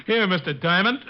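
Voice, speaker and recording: A man playing an eager, dim, gleefully cruel gangster's henchman in a 1940s radio drama. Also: high voice. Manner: giggling, eager, taunting. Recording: muffled, very noisy old radio recording.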